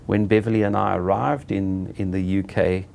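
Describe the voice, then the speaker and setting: A middle-aged South African man being interviewed, telling his own story in an unhurried, halting way and often correcting himself. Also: deep voice, little pitch movement, gravelly, a little mellow. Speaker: interviewee, property director. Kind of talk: reflective personal storytelling.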